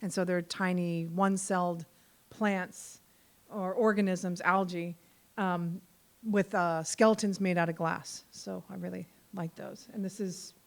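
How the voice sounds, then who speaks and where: A woman's voice: on the low side, steady tone, a little slow, gravelly. A woman presenting her own artwork to a small audience, informal, with many repeats and fillers. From Bahamas and Virgin Islands. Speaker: guest artist presenting her work. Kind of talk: casual presentation talk. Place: community meeting room.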